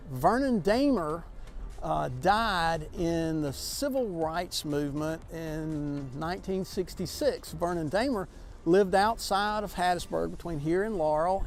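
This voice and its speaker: Folksy man, high voice. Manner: folksy, chatty, many restarts and fillers. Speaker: sculptor. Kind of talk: outdoor walking tour talk to children. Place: outdoors by public sculptures.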